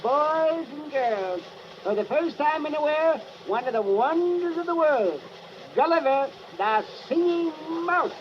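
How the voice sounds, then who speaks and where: An actor playing a male stage announcer who introduces an act in a slow, drawn-out showman manner, in a 1950s radio drama. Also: very high-pitched, lively intonation. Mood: grand. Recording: muffled old radio recording, loud hiss.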